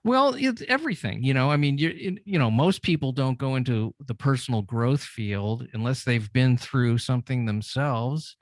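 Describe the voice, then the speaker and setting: A man's voice: medium pitch, animated, no room sound, studio quality. Rambling male podcast host. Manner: rambling, halting questions full of fillers. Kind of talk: interview questions.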